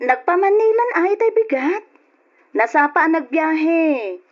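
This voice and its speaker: Through a dull, lo-fi radio recording comes an anxious, dutiful wife with an Ilocano Filipino accent. Her soft-spoken doubt swings widely in pitch, in old-fashioned radio drama style. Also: very animated, unhurried, heavily acted. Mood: puzzled, uneasy.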